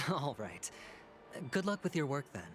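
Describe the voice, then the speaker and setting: A man voicing a smooth young schemer who laughs softly and sometimes drops to a whisper, in a game with background noise. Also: fairly high pitch, big pitch swings, unhurried, soft background music. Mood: amused.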